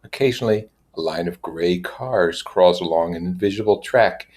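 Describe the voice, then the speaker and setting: A man reading literature aloud in a measured way, with casual explanatory asides. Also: low voice, a little mellow. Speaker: reader and commentator.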